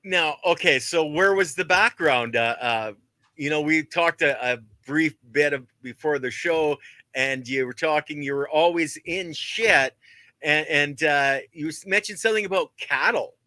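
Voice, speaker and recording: A man from Alberta hosting a casual interview podcast, chatty and quick with short interjections. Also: a little high, sharp tone, dry recording. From Alberta, Canada. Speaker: podcast host.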